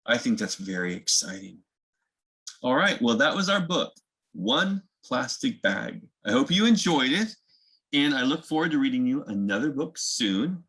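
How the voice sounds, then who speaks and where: A man's voice: mid-range voice, sing-song, moderate pace, a bit bright, husky, poor audio. A man hosting a children's read-aloud, reading a picture book and explaining things simply for young listeners.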